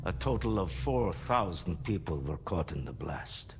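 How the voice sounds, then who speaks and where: An actor playing a world-weary, fatherly older man who died, recounting solemnly and deliberately in a game cutscene. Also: sits low, expressive. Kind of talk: cinematic game cutscene storytelling.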